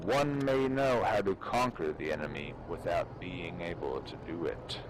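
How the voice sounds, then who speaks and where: A man giving a measured, solemn dramatic reading of an ancient text, declaiming it aloud. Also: deep voice. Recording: noisy game voice chat. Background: online shooter game sounds.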